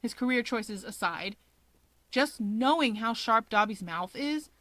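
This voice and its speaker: A woman reading fan fiction aloud as an expressive storyteller. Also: fairly high pitch, a little slow, dry recording. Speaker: narrator.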